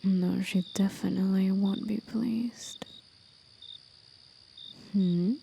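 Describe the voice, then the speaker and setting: A gentle old demon of the forest, played by a woman, murmurs in a very slow, intimate half-whisper. The voice is dark and soft with a creaky edge, soothing and a little mysterious, in the manner of an ASMR roleplay. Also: medium pitch, steady tone, a little hiss or hum. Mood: soft, coy.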